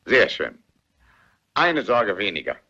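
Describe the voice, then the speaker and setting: An actor playing a stern, authoritative and easily exasperated police inspector in a German radio mystery. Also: male voice, on the low side, big pitch swings, slow pace, pushed and bright, heavily acted. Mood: resigned. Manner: authoritative, admonishing. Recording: muffled, dull radio play recording.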